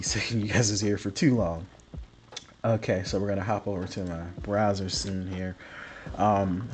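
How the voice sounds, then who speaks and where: A man's voice: fairly low pitch, darkish tone, slight background noise. Sick, rambling gaming host man. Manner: rambling, apologetic, many fillers. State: sick, partly lost voice. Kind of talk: casual commentary over patch notes. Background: faint background music.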